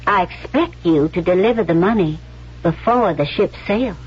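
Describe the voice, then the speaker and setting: Cool-headed, charming young schemer, husky and poised; muffled old radio drama recording. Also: female voice, fairly low pitch, lively intonation, moderate pace. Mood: shrewd. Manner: poised, probing, coolly teasing. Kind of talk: 1970s radio drama acting. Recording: dull vintage radio recording.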